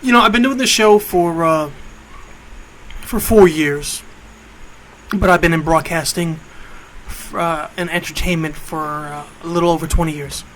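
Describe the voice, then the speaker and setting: A man in his late thirties hosting an internet radio show, talking off the cuff in a casual, halting monologue. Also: on the high side, a bit bright, rough recording. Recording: clear background noise.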